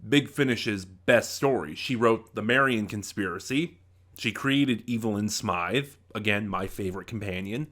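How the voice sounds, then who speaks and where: A man giving an informal spoken review as a video host, often halting and rephrasing himself as he thinks aloud. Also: medium pitch, fairly bright tone.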